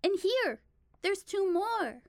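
An excitable little candy girl played by a woman in an audio drama. The voice is extremely high and lively, dry and eager. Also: takes it slowly, no echo, exaggerated voice. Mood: excited, eager.

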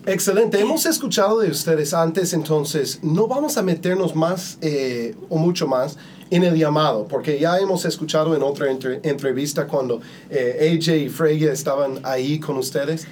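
A man hosting a conversational podcast interview, speaking haltingly and often repeating his words. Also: fairly high pitch, darkish tone, a little hiss or hum.

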